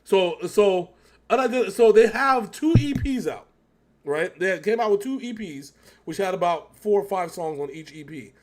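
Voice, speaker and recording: A man's voice: high voice, lively intonation, moderate pace, a little mellow. A man hosting a casual music reaction chat, rambling and often correcting himself as he thinks aloud. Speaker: reaction show host.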